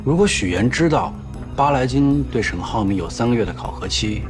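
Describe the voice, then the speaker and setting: An actor playing a smooth, insinuating male schemer in a screen business drama. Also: mid-range voice, expressive, a little fast, over music, natural voice. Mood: smug.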